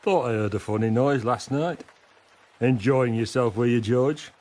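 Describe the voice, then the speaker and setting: An actor playing a cheeky, droll private in a First World War radio drama, chatty and teasing. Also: male voice, medium pitch, unhurried, a little mellow, close to an everyday voice. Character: cheeky, droll WW1 private fond of a rat.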